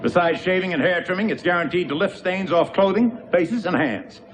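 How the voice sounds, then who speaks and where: An actor playing an eager, bumbling shopkeeper in a space-set television show, rambling and ingratiating in folksy sales patter. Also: male voice, high voice, fairly quick, noisy background, close to an everyday voice. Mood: salesman patter. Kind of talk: 1970s television variety acting.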